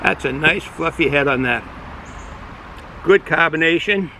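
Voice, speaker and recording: A middle-aged man with a Boston accent, casually reviewing a beer outdoors, rambling and halting with many fillers. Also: a little high, sharp tone, a little hiss or hum, poor audio. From Boston area, United States. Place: outdoors, sun in his eyes.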